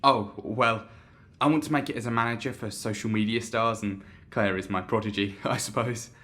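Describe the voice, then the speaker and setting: A man's voice: lowish voice, steady tone, moderate pace, a bit bright, close to an everyday voice. A young male actor in an audio drama playing an inquisitive, eager would-be manager of influencers, casual and questioning. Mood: keen, sheepish.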